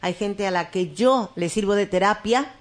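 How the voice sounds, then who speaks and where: A woman's voice: mid-range voice, normal speed. A woman radio host asking friendly, rambling questions in an interview.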